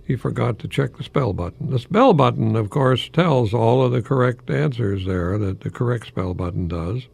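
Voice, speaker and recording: A man's voice: medium pitch, lively intonation, moderate pace, gravelly, hardly any pauses, a little mellow, slightly rough. An older man, a retired English professor hosting a folksy radio monologue, musing hesitantly with rhetorical questions and repeated phrases.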